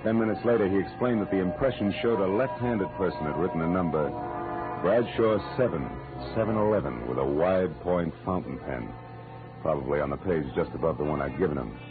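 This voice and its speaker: An actor playing a hard-boiled American private detective in an old radio drama, terse, dry and wry. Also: male voice, deep voice, fairly quick, natural voice. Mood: wry narration. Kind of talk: 1940s radio drama acting with first-person narration. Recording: muffled old-time radio recording with music.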